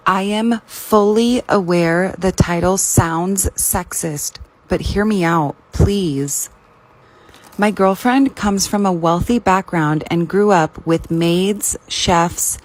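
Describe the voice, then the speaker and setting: Low-pitched woman narrating stories. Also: dry recording. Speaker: story narrator. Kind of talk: scripted narration of first-person online stories.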